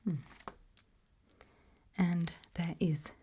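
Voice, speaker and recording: A British woman whispering gently in an ASMR roleplay as a calm, attentive sleep clinic practitioner, reassuring and soothing. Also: on the low side, very slow, slight background noise. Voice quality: breathy, whispered.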